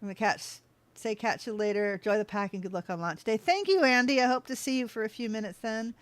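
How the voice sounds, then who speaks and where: A woman's voice: a little high, fast talker, no echo. A woman streaming a game, chatting casually with her viewers, easily sidetracked and laughing often. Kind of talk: casual livestream chat while playing.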